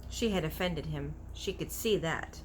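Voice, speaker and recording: A woman narrating a children's novel aloud in an animated storytelling style. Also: low voice, a little slow, slight background noise, poor audio. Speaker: storybook reader.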